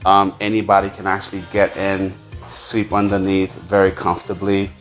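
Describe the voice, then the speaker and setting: A man from the United States hosting a casual farm tour, explaining things in a halting way with repeated words. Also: sits low, completely flat delivery, faint music behind. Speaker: farm owner and video host.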